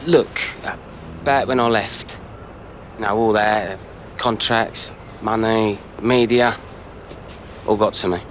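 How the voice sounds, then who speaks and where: An actor with a British accent playing an arrogant young star footballer in a game. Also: male voice, on the low side, fairly even intonation, slow pace, pauses often. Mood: friendly. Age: young adult. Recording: game cutscene with loud outdoor traffic noise.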